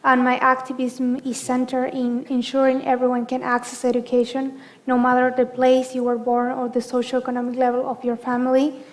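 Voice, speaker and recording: High-voiced young woman from Argentina. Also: completely flat delivery, a little fast. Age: young adult. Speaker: panelist, education advocate. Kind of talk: prepared self-introduction. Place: university conference hall.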